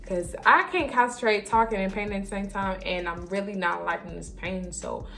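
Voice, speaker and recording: A young woman chatting casually to the camera as a vlogger, animated, slangy and emphatic, often repeating herself. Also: mid-range voice, fairly quick, vocal fry, soft background music. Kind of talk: casual storytime chat to camera.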